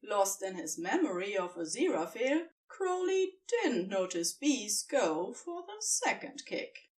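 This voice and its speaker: A woman narrating fan fiction as an expressive scripted audiobook reading. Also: mid-range voice, measured pace, distant mic.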